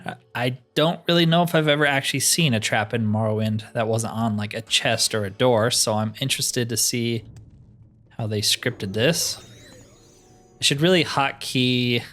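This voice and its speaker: A man with a mid-pitched voice hosts a game playthrough over music. He chats informally and thinks out loud in a casual commentary style. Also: normal speed. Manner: chatty, informal, thinking aloud. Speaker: host of a game roleplay series. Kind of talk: casual let's play commentary. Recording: music behind the voice.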